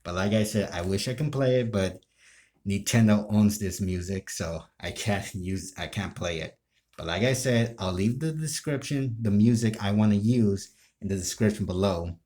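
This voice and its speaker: A man chatting casually to his viewers as a video creator, rambling and circling back over halting explanations. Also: fairly low pitch, mellow. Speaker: video creator and amateur role-player.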